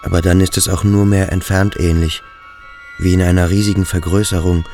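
A very low male voice gives the private thoughts of a brooding schoolboy in a radio drama. The delivery is introspective and deliberate, phrase by phrase. Soft instrumental music sits faintly behind it. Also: level tone. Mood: brooding, unsettled. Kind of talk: radio drama interior monologue.

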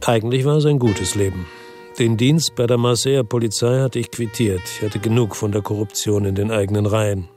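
A man's voice: fairly low pitch, brisk, music in the background, natural voice. An actor playing a world-weary former policeman from Marseille, narrating his case soberly and laconically in a radio drama. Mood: weary, reflective. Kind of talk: first-person radio drama narration and dialogue.

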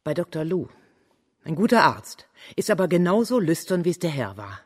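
Servant for radio play: raised pitch, venomous, emphatic, blunt, fiercely loyal. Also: male voice, big pitch swings, moderate pace. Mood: bitter, gossipy. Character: venomous, blindly loyal servant with a sharp tongue. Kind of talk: German radio crime drama acting.

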